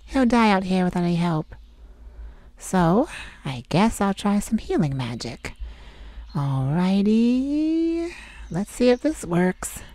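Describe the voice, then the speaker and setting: A snarky male forest fae teases and insults with blunt sass. The voice swings widely in pitch. It sits close to the mic in a dry, roomless sound. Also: very animated, slow pace, pauses often, warm tone, no room sound. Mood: sassy teasing. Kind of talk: ASMR roleplay.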